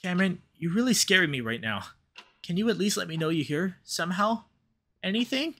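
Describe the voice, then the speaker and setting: Man acting anxious, exhausted young man, animated and pleading. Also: sits high, fairly quick, pitched above the performer's own voice, full character voice. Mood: panicked, pleading. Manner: dramatic, emotive, pleading. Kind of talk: visual novel read-aloud.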